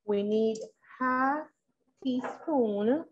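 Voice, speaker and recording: A woman with a fairly high voice at a kitchen counter in a live-streamed cooking class, talking her way through each step, the sound a bit dull. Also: very slow. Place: indoor kitchen with steel fridge and counters.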